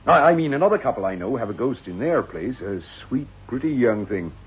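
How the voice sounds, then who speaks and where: An actor playing a folksy, evasive village estate agent in a radio drama, chatty and hesitant. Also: male voice, medium pitch, big pitch swings, fairly quick, husky. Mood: defensive, chatty. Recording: tinny lo-fi old radio.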